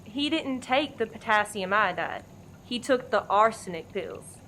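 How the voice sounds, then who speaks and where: A woman's voice: a little high, lively intonation, unhurried, fairly bright tone. A woman playing a tough, practical diner character in a comic radio play, blunt and commanding, with background noise. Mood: matter-of-fact.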